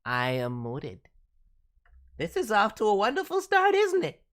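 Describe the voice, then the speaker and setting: An excitable cartoon raccoon with a high voice that swoops up and down theatrically. He takes his time over each phrase and playfully mangles words as he hosts a livestream, every bit the reformed toon villain. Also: unhurried, heavily acted. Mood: bubbly, showy. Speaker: host, voice director reviewing demo reels. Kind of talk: livestream hosting in character as a cartoon VTuber.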